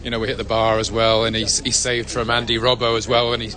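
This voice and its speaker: A man's voice: lowish voice, level tone, fast talker, sharp tone. A man, a football fan, chatting in a friendly, rambling way about the match outside the stadium. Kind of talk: casual post-match chat. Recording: crowd noise outdoors. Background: crowd of fans.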